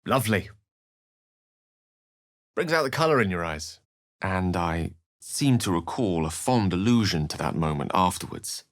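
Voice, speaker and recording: An actor playing a learned, dramatic wizard adventurer in a video game, speaking in an articulate, theatrical storytelling manner. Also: male voice, deep voice, expressive, measured pace, long pauses, dry recording, clean studio sound. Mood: wry. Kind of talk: scripted video game character lines and narration.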